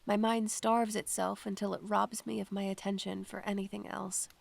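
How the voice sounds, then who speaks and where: Wistful young spirit-medium student for audio drama, played by a woman: very gravelly fry, brooding, measured, introspective. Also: medium pitch, flat delivery. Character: melancholy, introspective young female student who hears spirits. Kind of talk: audio drama narration and acting.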